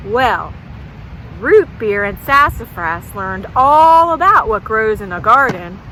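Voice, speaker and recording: A woman telling a folktale at a children's storytime, pausing to ask young listeners questions. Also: on the high side, animated, bright, forceful tone, a little hiss or hum, poor audio.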